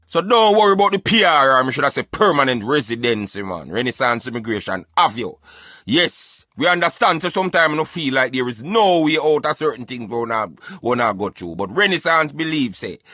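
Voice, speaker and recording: A man's voice: medium pitch, very animated, brisk, bright, forceful tone, no echo, clean studio sound. A man with a Jamaican accent hosting a talk show in Patois, giving emphatic, repetitive commentary peppered with catchphrases. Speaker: radio show host.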